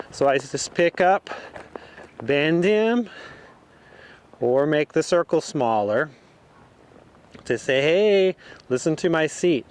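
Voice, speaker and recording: A man giving calm, explanatory riding instruction as he rides in an outdoor arena. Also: a little high, slight background noise. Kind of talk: instructional commentary while riding.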